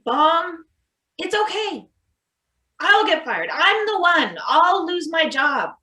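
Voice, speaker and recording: A woman's voice: very high-pitched, big pitch swings, takes it slowly, sharp tone, heavily acted. A woman playing a guilt-ridden, weary, anxious maintenance worker in a play reading, earnest and pleading. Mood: resigned, soothing. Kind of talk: live table read of a satirical stage play.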